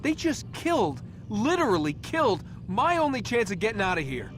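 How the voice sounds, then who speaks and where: Young male prisoner in a game, sarcastic and fed up, lively pitch, bit of music. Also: moderate pace, exaggerated voice. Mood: exasperated. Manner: sardonic, exasperated. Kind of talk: naturalistic video game cutscene acting.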